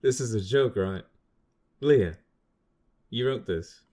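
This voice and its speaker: Man acting sardonic, slow, lowish mercenary. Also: lively intonation, warm tone. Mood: disbelieving. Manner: sarcastic. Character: sardonic male mercenary, loudly disbelieving. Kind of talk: audio drama acting.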